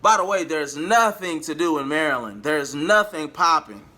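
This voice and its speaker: Bright-voiced man telling a story. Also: a little high, moderate pace. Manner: animated, slangy, acts out quoted dialogue. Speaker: vlogger and amateur singer. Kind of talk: casual storytelling to viewers.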